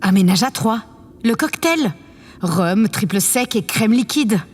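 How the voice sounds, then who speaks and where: A woman's voice: on the high side, expressive, a little slow. A woman playing a vain, imperious yet affectionate president of a space colony in an audio drama, with a pompous, condescending manner. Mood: smug, playful. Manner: pompous, condescending, theatrically self-satisfied. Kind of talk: scripted audio drama acting. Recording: long reverb, clear background noise.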